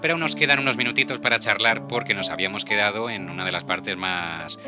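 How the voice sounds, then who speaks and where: A man's voice: lowish voice, fast, over music. A man with a Spain accent hosting a radio show in a casual, chatty style. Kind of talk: casual panel chat and hosting.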